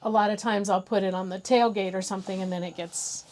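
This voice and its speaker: A woman's voice: medium pitch, steady tone, quick pace, a little mellow. An American woman co-hosting a casual gadget review in a chatty, conversational way.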